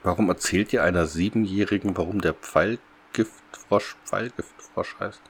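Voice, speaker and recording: A man's voice: deep voice, normal speed, a little hiss or hum. A man co-hosting a podcast, recapping a radio play in casual conversation. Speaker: podcast co-host.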